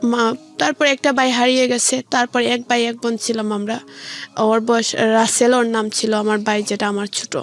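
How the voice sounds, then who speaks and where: Female interview guest: high-pitched, halting delivery, recounting personal experience. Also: little pitch movement, faint music behind. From Sylhet region, Bangladesh. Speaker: guest telling own life story.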